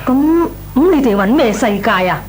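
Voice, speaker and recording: An actress playing a well-meaning, exasperated middle-aged aunt in a Cantonese radio comedy, sighing and coaxing, with a Hong Kong Cantonese accent. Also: female voice, high voice, animated, moderate pace, a little mellow, soft background music. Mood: reproving. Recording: noisy old radio recording.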